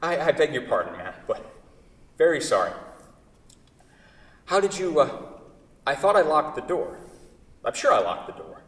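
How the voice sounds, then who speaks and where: A man's voice: a little high, very animated, moderate pace, pauses often, a bit bright. A man in a staged play reading, acting a wordy, fretful furniture craftsman in love with his own creation, often correcting himself. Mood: flustered, apologetic. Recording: echoey hall, far from the mic.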